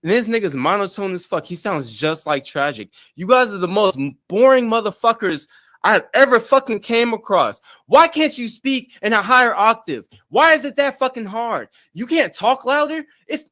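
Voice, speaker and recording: A man ranting loudly and profanely, taunting others and mocking how flat they sound. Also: normal speed. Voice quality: deep voice in his own view. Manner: profane, loud, taunting, mocks others' monotone. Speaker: streamer in a played clip. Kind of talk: angry rant.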